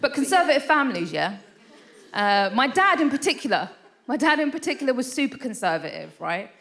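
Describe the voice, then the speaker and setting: A woman's voice: high-pitched, big pitch swings, a little fast, fairly bright tone. A woman in her thirties doing a stand-up set on a theatre stage, chatty and self-deprecating, often tossing in "right?" asides. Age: 30s. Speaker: stand-up comedian. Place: live theatre stage with audience.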